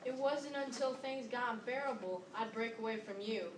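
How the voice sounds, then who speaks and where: Teenage girl, fairly high pitch, scripted recitation, roomy echo. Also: normal speed. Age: young teenager. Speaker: student performer. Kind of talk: readers theater recitation. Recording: echoey room, distant mic, background noise.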